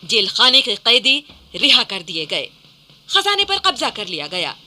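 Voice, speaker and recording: A woman's voice: a little high, wildly swooping pitch, unhurried, bright, forceful tone. A woman narrating a scripted historical radio play in a dramatic storytelling style.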